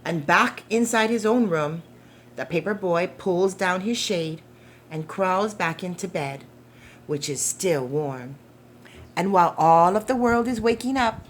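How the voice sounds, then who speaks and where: A woman with a slightly low voice reads a picture book to small children. She goes very slowly and gently, with expressive phrasing, as a preschool storytime reader. There is noticeable noise behind her. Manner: gentle, deliberate, asking the children questions.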